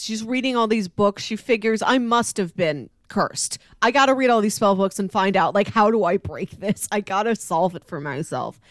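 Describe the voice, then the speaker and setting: A woman's voice: fairly high pitch, no echo, clean studio sound. A woman in casual podcast discussion, conversational, slipping into acted-out quotes as she explains. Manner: conversational, acts out quoted lines.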